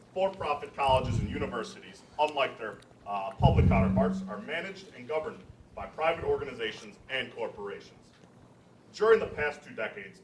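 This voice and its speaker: A young man reading scripted narration aloud as a student presenter, stumbling now and then. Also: medium pitch. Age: young adult. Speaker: student presenter and narrator at a readers theater event. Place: room at a college library event. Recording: echoey, distant mic with faint noise.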